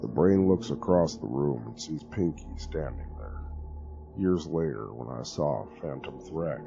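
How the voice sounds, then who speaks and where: Very deep gravelly horror narrator. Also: male voice, lively intonation, a little slow. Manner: grave, hushed storytelling. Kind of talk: dramatic horror story reading. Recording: lo-fi with music underneath.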